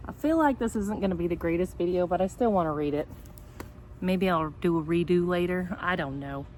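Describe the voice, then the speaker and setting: A woman's voice: lowish voice, brisk, a little mellow. A woman teacher talking casually and reading a picture book aloud, with a chatty, rambling, self-deprecating way of speaking. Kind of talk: casual vlog chat and storybook read-aloud. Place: outdoors in sunshine. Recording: homemade outdoor recording with background noise.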